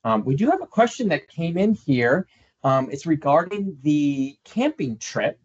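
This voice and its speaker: A man's voice: a little high, big pitch swings, a little slow, husky. Male host, cheerful promotional tone, slightly muffled video call audio. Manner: upbeat, polite, promotional. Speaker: webinar host. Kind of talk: hosting a travel webinar.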